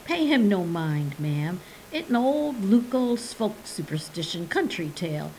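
A woman voicing a soft-spoken Cajun swamp guide, easygoing and humoring. His loose dialect comes out gentle and placating. The voice moves over a lively range. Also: lowish voice, measured pace, slight background noise, rough recording. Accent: Cajun English.

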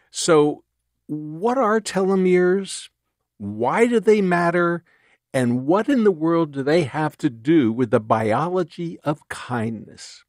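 Male interviewer, measured and very slow, higher-pitched, dry close mic. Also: dry recording. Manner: slow, deliberate questioning. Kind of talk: interview questions.

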